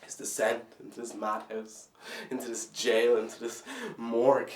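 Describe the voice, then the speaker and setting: A man playing a bitter, anguished, disillusioned young man in a halting theatrical monologue, moving between brooding and outbursts. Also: medium pitch, lightly acted. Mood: despairing, quiet. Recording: echoey room, distant microphone.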